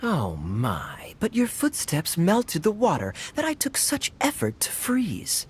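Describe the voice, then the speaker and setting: A man playing a suave young adventurer in a video game, sly and playfully sardonic, with a smooth theatrical manner. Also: high-pitched, huge pitch swings, slow, a little hiss or hum, exaggerated voice. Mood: teasing. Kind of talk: video game cutscene acting. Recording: music playing behind the voice.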